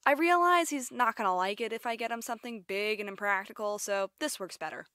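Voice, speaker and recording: A woman's voice: fairly high pitch, brisk, pushed and bright. A woman voicing a sensible, prim young female ticket-booth worker in a cartoon, matter-of-fact in manner. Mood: resigned. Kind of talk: animated web series voice acting.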